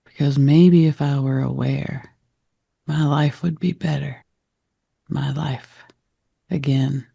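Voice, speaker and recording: Slow, dark, gravelly male meditation guide. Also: on the high side, steady tone, dry recording, clean studio sound. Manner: gentle, reflective, deliberate phrasing with short fragments.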